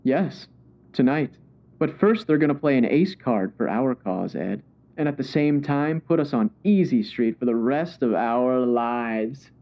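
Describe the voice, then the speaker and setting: A man's voice: mid-range voice, animated, a little slow, lo-fi sound. A man playing a smug, scheming crime boss in the style of an old radio villain.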